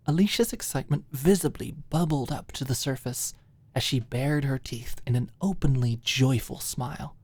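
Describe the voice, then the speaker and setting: A woman's voice: rumbling low, a little mellow, breathy edge, no room sound. A woman reading scripted story narration as the narrator of an ASMR audiobook.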